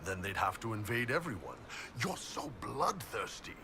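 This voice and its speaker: An actor playing a teasing, easygoing warrior in a fantasy game, with casual, dry banter. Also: male voice, lowish voice, very animated, moderate pace, creaky, pushed and bright, soft background music, heavily acted.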